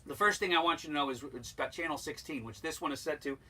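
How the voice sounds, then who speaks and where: Bright-voiced American man teaching boating basics. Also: fairly high pitch, fast talker. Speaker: sailing instructor. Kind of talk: informal instructional demonstration to camera.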